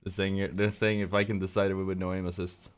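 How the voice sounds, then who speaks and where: Rambling Swedish-accented streamer, lowish voice. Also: male voice, flat delivery, fast, clear, resonant tone. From Sweden. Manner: rambling, loose, many fillers and self-corrections. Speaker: streamer casting a tournament. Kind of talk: casual live-stream commentary.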